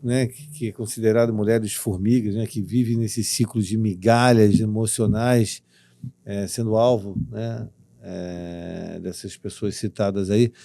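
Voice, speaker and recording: A man hosting an interview as a therapist, asking gentle questions and prompting with short affirmations. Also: on the low side, steady tone, a little slow, vocal fry, no echo. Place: TV studio.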